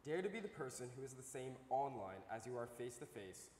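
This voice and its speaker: A man's voice: medium pitch, very animated, fast, darkish tone. A man presenter delivering a scripted address from a stage. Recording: echoey hall, faint noise.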